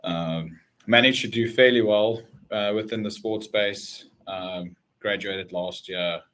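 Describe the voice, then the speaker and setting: A man's voice: lowish voice, slow, slight background noise. A man in his twenties whose first language is Afrikaans, from South Africa, talking casually in a webinar interview with many fillers. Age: 20s. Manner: relaxed, many fillers and restarts. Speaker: guest, former college athlete.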